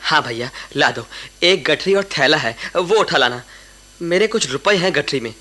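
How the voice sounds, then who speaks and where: A man's voice: on the high side, lively intonation, normal speed, sharp tone. An actor playing an ardent, reassuring young man from a monastery in a Hindi radio play. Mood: earnest. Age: young adult.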